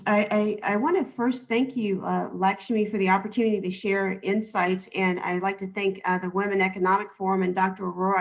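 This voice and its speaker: A woman speaks as a panelist in an earnest, measured and gracious way. Her voice sits at a middle pitch and crackles with fry. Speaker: business planning consultant, conference speaker. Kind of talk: prepared panel remarks. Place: online video call.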